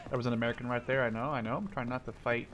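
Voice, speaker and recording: A man in his twenties giving casual gameplay commentary, excitable and rambling, with frequent swearing. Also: mid-range voice, very fast. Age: 20s. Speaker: video game streamer. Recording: gunfire and game noise behind voice. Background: video game gunfire.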